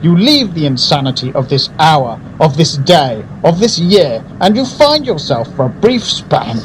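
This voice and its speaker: A man's voice: fairly high pitch, big pitch swings, measured pace, slight background noise, rough recording. An actor playing an earnest, effusive advertising man and gourmet in a radio drama, speaking in a grandiose, theatrical way. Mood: grandiose, devoted.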